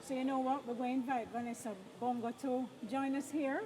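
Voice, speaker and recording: A woman's voice: high-pitched, level tone, fairly quick. Upbeat woman hosting live. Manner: upbeat, prompting co-host with questions. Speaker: event host. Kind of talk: live event broadcast chat. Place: outdoors at a crowded event. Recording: crowd noise behind. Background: crowd chatter.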